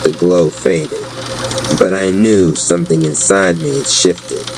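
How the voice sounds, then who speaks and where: Male narrator for a spoken-word audio drama, low-pitched and unhurried, with clear background noise. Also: steady tone.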